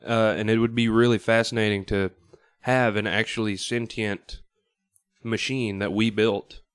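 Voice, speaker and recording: A man's voice: on the low side, flat delivery, unhurried, smooth, dry recording, studio quality. A man in a casual podcast discussion, thinking aloud a little hesitantly as he shares his view. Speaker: podcast panelist.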